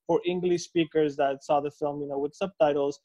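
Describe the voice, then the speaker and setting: Even, mellow male interviewer, echoey call. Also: on the high side, a little fast. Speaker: interviewer, film critic moderating. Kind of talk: casual Q&A moderation. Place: room at home. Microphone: video call on phone or laptop. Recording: dull, echoey video-call audio.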